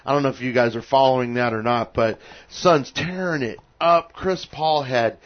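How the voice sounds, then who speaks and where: A man with a raspy voice hosting a talk radio show, joking and rambling in casual chat. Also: medium pitch, normal speed. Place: radio studio. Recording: lo-fi radio broadcast.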